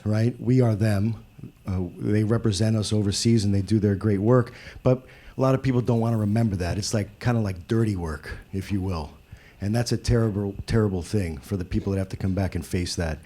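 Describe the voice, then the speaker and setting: A man speaking from the audience at a live event, giving an earnest, measured, unscripted comment. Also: fairly low pitch, dark tone. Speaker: audience member. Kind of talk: earnest spontaneous public comment.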